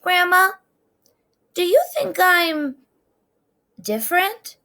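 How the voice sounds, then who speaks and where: A woman's voice: very high voice, expressive, slow pace, bright, forceful tone, pitched above the performer's own voice, exaggerated voice. A woman voicing a small, earnest, unsure young girl from a storybook, with childlike delivery.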